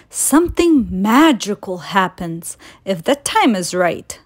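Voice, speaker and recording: Slow-paced woman reading a storybook. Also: medium pitch. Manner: measured, expressive reading for children. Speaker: storytime reader of children's picture books. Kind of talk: read-aloud storybook narration.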